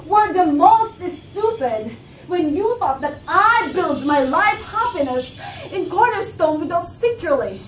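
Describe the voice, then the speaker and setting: A woman's voice: extremely high pitch, expressive, unhurried, few pauses, slight background noise. A young woman playing a proud, accusing woman in a stage play, declaiming emphatically. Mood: scathing. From Philippines. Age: young adult. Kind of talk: theatrical stage acting of a classic play. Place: indoor living-room stage set. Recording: roomy, distant microphone, music behind.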